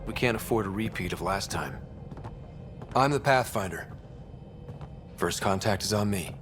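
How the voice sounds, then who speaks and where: A man's voice: on the low side, moderate pace, a bit bright, lightly acted. Man playing earnest young space explorer over music. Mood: determined, wry. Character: young human male explorer, earnest, wry space pathfinder. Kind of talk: video game dialogue acting.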